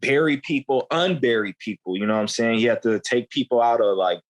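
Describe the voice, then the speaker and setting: A man's voice: medium pitch, fairly quick. A young man in his twenties speaks in a middle register, chatting candidly as an interview guest. His talk is loose and slangy, full of "you know what I'm saying," and his stories run long. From United States. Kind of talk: candid conversational storytelling.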